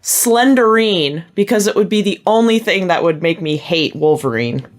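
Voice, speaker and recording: A woman's voice: lowish voice, animated, moderate pace, slight background noise. A woman chatting casually as a podcast panelist, candid and a little self-deprecating. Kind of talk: casual chat.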